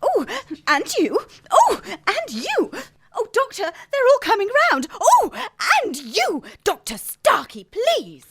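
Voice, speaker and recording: Woman doing a prim young nurse, gushing, high voice, lively. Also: slow, hardly any pauses, heavily acted. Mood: flustered.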